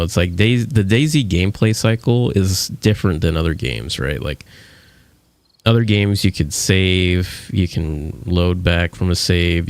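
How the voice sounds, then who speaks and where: Male streamer, deep low voice with gravel, casual rambling gaming chat. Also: dry recording. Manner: rambling, lots of fillers and restarts.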